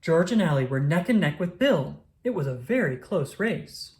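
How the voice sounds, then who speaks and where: A woman narrates a children's picture book in a fairly low voice. Her pitch moves in lively swoops, and she phrases things deliberately and expressively for young listeners. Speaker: storybook reader. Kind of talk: children's read-aloud narration.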